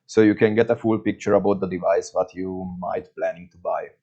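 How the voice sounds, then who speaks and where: Man, Hungarian accent, lowish pitch, video reviewer, scripted delivery, dull muffled recording. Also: steady tone, a little fast, mellow. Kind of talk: scripted product review to camera.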